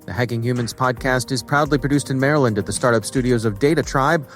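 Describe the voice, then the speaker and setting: A man's voice: mid-range voice, fast talker, soft background music. A man hosting a podcast, moving between conversational remarks and scripted announcer-like reads. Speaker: podcast host.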